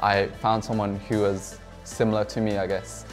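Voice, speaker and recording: A man's voice: lowish voice, flat delivery, moderate pace. A man in his early twenties with a southern English accent, a student talking informally to camera. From Kent, England. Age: early 20s. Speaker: university student. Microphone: lapel mic. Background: background music.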